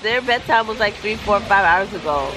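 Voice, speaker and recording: A woman's voice: mid-range voice, animated, moderate pace. A young woman vlogging at night with a stuffy, congested voice, chatty and playful, filming at arm's length. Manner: chatty, playful, laughs often. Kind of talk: casual chat. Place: outdoors at night by a fountain. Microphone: handheld camera at arm's length. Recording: loud outdoor noise.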